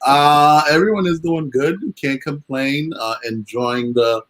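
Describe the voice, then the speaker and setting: A man's voice: a little high, measured pace, fairly bright tone. Laid-back guy cohost, slangy, easygoing, just chatting. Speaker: co-host. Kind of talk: casual chat.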